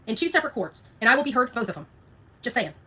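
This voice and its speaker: A woman with a high, bright voice delivers an unscripted livestream rant. She talks quickly and combatively, leaning on repeated phrases and pointed rhetorical questions as she answers her viewers. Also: very animated, husky. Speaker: livestream host responding to viewer comments.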